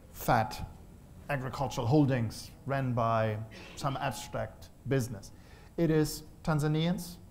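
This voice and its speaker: A man's voice: medium pitch, darkish tone, a little hiss or hum. A man, an economist, answering questions at a conference panel, thoughtful and restarting his phrases often. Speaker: economist presenting a report. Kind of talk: panel discussion remarks. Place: conference hall.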